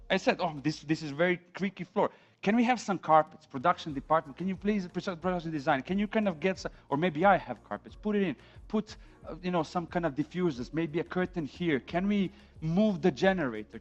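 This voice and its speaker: Animated Serbian-accented man lecturing. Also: on the high side, fairly quick, muffled recording, faint music behind. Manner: animated, acts out on-set quotes, rhetorical "yeah?" tags. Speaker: film sound instructor. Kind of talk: classroom lecture.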